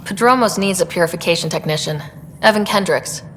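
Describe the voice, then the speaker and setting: A woman playing a composed, supportive young space explorer in a video game, speaking in an earnest, measured way. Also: on the low side, a bit bright, lightly acted. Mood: composed, businesslike. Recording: reverberant, faint background noise.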